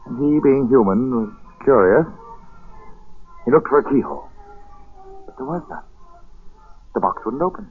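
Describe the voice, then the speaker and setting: A man narrating a colonial tale in a 1940s radio drama as a folksy, deliberate and suspenseful storyteller. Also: medium pitch, big pitch swings, creaky, soft background music. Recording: muffled, hissy 1940s radio recording.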